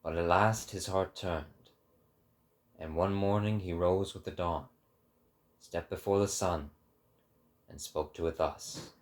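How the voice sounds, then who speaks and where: A man's voice: deep voice, steady tone, takes it slowly, long pauses. A man narrating a book in a dramatic, scripted style.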